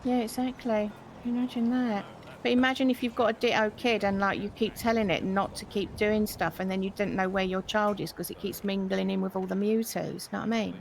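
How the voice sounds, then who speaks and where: A woman chatting in character during a roleplay game, gossipy and quick to laugh. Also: mid-range voice, fairly even intonation, creaky, slight background noise, poor audio. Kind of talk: casual in-character chat.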